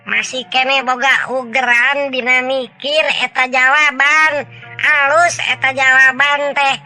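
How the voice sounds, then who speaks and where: A man's voice: flat delivery, slow pace, heavily acted. A man voicing an impish, cackling, wise companion who explains riddles in a teasing way in a dramatized folk tale. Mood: teasing, amused. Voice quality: shrill, bright. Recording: music behind the voice.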